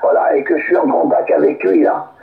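A man chatting casually over a radio link as an amateur operator, rambling and halting. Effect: radio transmission. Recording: phone-like radio signal, echoey.

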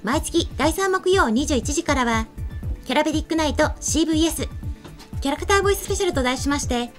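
A woman's voice: high-pitched, lively intonation, quick pace, soft background music. A woman hosting and presenting an online talk show from a studio desk microphone. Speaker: host. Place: small indoor studio set at a table. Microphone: desk microphone on a stand.

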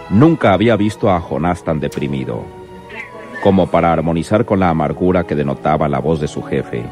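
A man's voice: deep voice, a little mellow. A man with a Mexican accent narrating a scripted radio drama.

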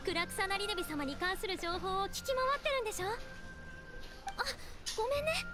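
A woman playing a gentle, devout young woman in a Japanese anime game, speaking earnestly and politely. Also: very high-pitched, very animated, a little fast, over music. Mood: friendly, eager. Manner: earnest, polite feminine phrasing.

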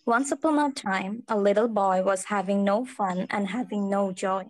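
A woman's voice: medium pitch, normal speed. A woman reading a children's story aloud as narrator over a video call.

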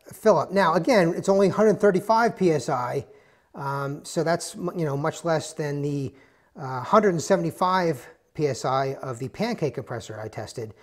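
A man giving a casual, rambling tool review in a garage workshop, with many fillers and restarts. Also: on the high side. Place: indoors, garage or workshop with concrete floor.

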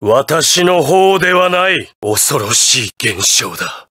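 An actor playing an imperious, ruthless patriotic president in a Japanese anime fighting game, with grandiose, theatrical declarations. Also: male voice, medium pitch, big pitch swings, slow pace, pushed and bright, husky, no echo, clean studio sound, full character voice. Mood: cold, menacing.

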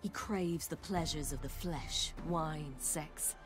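A woman's voice: low voice, slow. A woman playing a determined young warrior in a fantasy game, with a British accent and earnest, formal phrasing. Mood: measured, explaining. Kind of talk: cinematic fantasy game acting. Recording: music behind the voice. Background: game music.

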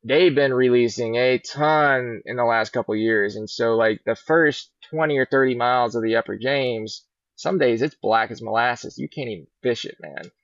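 A middle-aged man with a gravelly edge talks in an easygoing, rambling way about life as a fishing guide. His voice arrives dull and muffled through a video call. The sound is dry, with little room echo. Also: medium pitch, normal speed. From Virginia. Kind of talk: casual podcast interview. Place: large indoor boat showroom. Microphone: laptop webcam on a video call.

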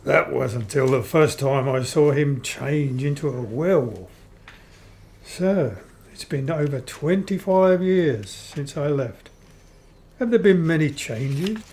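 A measured, polite son of vampires played by a man in a radio play. He speaks slowly in a slightly high male voice, as if explaining things with care. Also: animated, darkish tone. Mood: curious. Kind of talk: amateur radio play acting.

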